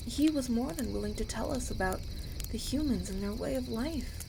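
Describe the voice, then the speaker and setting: A woman's voice: on the high side, animated, normal speed, vocal fry, noisy background, pitched above the performer's own voice. Stern, solemn village elder, acted by a woman. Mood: stern, dismissive. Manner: formal, solemn, declarative. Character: stern, unyielding village elder, coldly devout. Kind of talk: roleplay audio acting.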